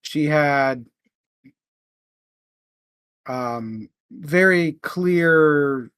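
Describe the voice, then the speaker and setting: A man hosting a casual book discussion, thinking aloud in a halting way. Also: on the high side, fairly bright tone, smooth, no echo. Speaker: book discussion show host.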